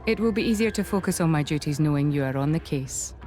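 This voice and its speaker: A woman's voice: sits low, expressive, fast talker, a little mellow, faint music behind. A woman playing a dutiful, composed military commander in a video game, speaking formally and with measure.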